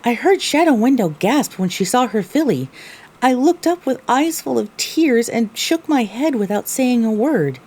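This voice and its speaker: A woman's voice: on the high side, unhurried. A woman playing a young female pony, a weary, sarcastic wasteland survivor narrating her own story expressively in an audio drama. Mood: downcast. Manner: expressive, theatrical. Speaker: narrator and lead character.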